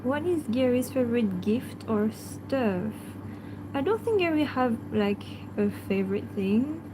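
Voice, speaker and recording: A woman with a French accent, a native French speaker, hosting a casual livestream and answering viewers in a hesitant way full of fillers, sometimes talking softly to her pet. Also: on the high side, expressive, measured pace, background noise.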